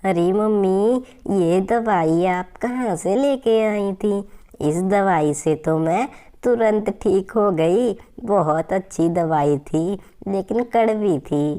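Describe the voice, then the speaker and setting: A woman's voice: mid-range voice, slow, warm tone, close to an everyday voice. A woman voicing a whiny, childlike young bird daughter who complains, in a cartoon story with background noise. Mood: relieved. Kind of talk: Hindi moral-story cartoon dialogue.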